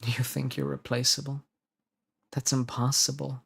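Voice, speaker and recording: Man acting a creaky-voiced, intensely devoted lover. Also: mid-range voice, flat delivery, fairly bright tone, full character voice. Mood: fervent, protective. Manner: pleading, earnest, dramatic pauses. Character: intensely devoted male lover, tender, protective, possessive. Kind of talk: romantic roleplay audio acting.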